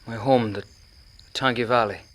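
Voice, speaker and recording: An actor playing an earnest, anxious, haunted immigrant cab driver in a radio play, speaking simple broken English. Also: male voice, medium pitch, fairly even intonation, moderate pace, fairly bright tone, close to an everyday voice. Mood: subdued, uneasy.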